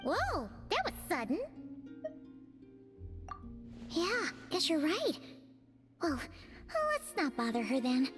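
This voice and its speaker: A little floating game companion with a piping, childlike voice. She is blunt and chatty, with very animated intonation. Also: high-pitched, a little slow, over music, heavily acted. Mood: doubtful, surprised. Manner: speaks of herself in third person. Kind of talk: video game voice acting.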